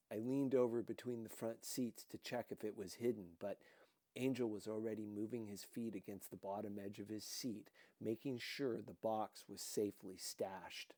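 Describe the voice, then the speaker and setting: A man reading a first-person novel aloud as an audiobook, with deliberate, dramatic expression. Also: lowish voice, mellow, no room sound. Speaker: audiobook reader.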